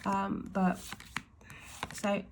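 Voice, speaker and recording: Slow, gravelly-voiced woman with Swedish-tinged accent. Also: mid-range voice, monotone, a little hiss or hum. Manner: slow, thoughtful, meandering talk. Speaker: tarot deck reviewer. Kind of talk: casual deck review chat.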